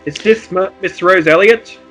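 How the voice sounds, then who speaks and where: A man's voice: high voice, normal speed, fairly bright tone, soft background music, pitched above the performer's own voice. A man reading the part of a polite delivery man in an amateur radio play, in a plain manner.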